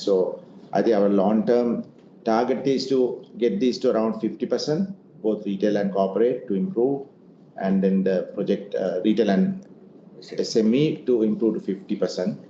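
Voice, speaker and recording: A man's voice: fairly low pitch, fairly even intonation, normal speed, mellow. A man answering investor questions as an executive leading an earnings call, in a semi-formal way. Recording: muffled, echoey room with noise.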